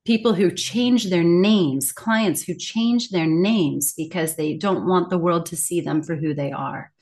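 A woman's voice: fairly low pitch, measured pace, a little mellow. A middle-aged woman speaking reflectively as an interview guest, earnest, articulate and emphatic in her delivery. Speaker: guest, communication coach and author.

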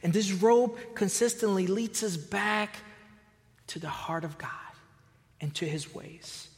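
Costa Rica-born male preacher, high voice, repeats his starts, big echoing hall. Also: slow pace. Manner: storytelling, repeats words when starting sentences. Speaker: pastor. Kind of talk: sermon.

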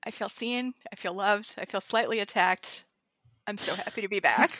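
A woman co-hosting a podcast in casual chat, bubbly and excitable and quick to laugh. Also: mid-range voice, no room sound. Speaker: podcast co-host.

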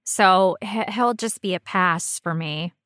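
A woman's voice: on the low side, slow pace, fairly bright tone, no room sound, clean studio sound. A woman co-hosting a podcast in casual, teasing chat, joking and loosely spoken. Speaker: podcast co-host.

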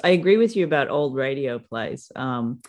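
A woman's voice: rumbling low, animated, normal speed, a little mellow. A woman hosting a podcast, interviewing a guest in a chatty way and laughing often. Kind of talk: casual interview.

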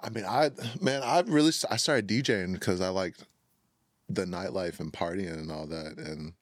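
A young man with a deep, low-pitched voice talks in a loose, laid-back way, laughing easily and jumping between thoughts. He grew up in Minnesota. The recording is close and dry, with no room sound. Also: moderate pace, dry recording. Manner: laid-back, self-described scatterbrained, laughs often. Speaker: guest, music artist and producer. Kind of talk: casual podcast chat.

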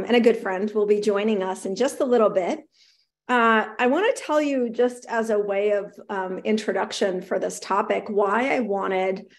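A middle-aged woman hosting a live show, upbeat and encouraging, reading viewers' messages aloud, her voice slightly raspy. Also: medium pitch. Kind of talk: live-streamed talk to viewers.

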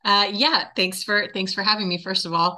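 A woman's voice: mid-range voice, steady tone, normal speed, sharp tone. An American woman in her forties from North Carolina, answering questions as an interview guest in a conversational way. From North Carolina, United States. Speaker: interview guest, actor and movement teacher.

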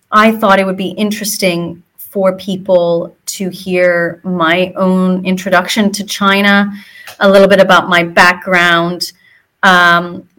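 A woman in her forties with an accent that drifts between American and British hosts her podcast. Her voice is bright and forward, and she tells her story in a relaxed way, filling pauses with um. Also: medium pitch. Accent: mixed American and British. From Hong Kong. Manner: relaxed, fillers like um between phrases. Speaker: podcast host. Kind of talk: scripted podcast intro then casual storytelling.

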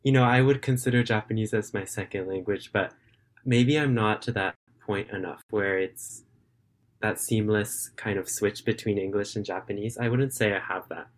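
A young man in his late teens answers interview questions over a video call. He talks in a relaxed, earnest way, often hedging with fillers. His voice sits somewhat low. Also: moderate pace. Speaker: remote English tutor and high school student.

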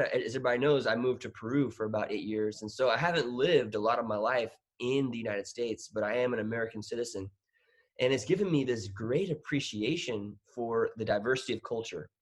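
A man in his thirties who grew up in Thailand talks in a relaxed, rambling way. He laughs at himself often and trips over his words. His pitch sits in a middle range. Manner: laughing, self-deprecating, rambling with restarts. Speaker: guest. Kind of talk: casual interview chat.

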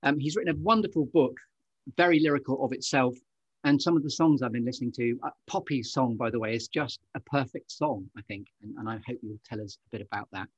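A man's voice: medium pitch, quick pace, slightly rough, dry recording, highs cut off. A man hosting a podcast interview in an effusive, chatty way, with wordy, meandering asides. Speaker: podcast host.

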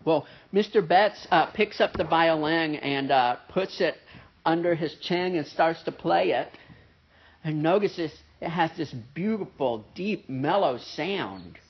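Nasal, gravelly male preacher. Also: on the high side, animated, slow. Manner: conversational preaching with frequent "right?" and "uh" fillers. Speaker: pastor. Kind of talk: sermon. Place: church service with a pianist present. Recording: dull, muffled sermon recording.

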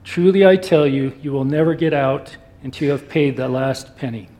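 A man reading scripture aloud in a steady, measured way. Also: mid-range voice. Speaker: volunteer scripture reader.

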